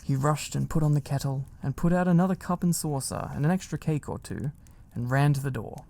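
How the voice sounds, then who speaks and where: Mellow, creaky man reading a bedtime story. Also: on the high side, a little fast. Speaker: ASMR narrator. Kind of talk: soft storybook reading, ASMR.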